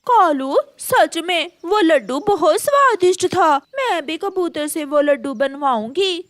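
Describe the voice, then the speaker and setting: A woman puts on a greedy female crow for a cartoon fable. She is very high and swoops around in pitch, boastful and coaxing, with a sugary falseness over her mischief. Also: animated, measured pace, no echo, studio quality. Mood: eager. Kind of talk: Hindi moral-story cartoon acting.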